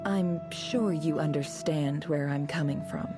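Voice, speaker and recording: Smug female envoy for a game: lowish voice, formal, condescending, quietly threatening, music underneath. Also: measured pace. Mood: persuasive, cold. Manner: formal, condescending, silky menace. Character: smug, scheming woman posing as a haughty ambassador. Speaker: game voice actor. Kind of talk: dramatic video game voice acting.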